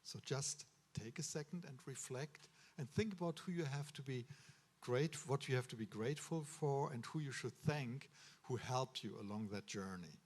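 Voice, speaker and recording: German guy giving a ceremony speech, earnest advice, middle pitch, lots of uh and restarts. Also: normal speed, breathy edge. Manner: earnest, advising, with frequent uh fillers and restarts. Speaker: medical school official addressing students. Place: ceremony hall.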